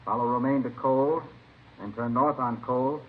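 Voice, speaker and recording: An actor playing an authoritative police captain who gives methodical instructions in a vintage radio crime drama. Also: male voice, medium pitch, fairly even intonation, moderate pace, lightly acted. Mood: businesslike. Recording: muffled, hissy old radio recording.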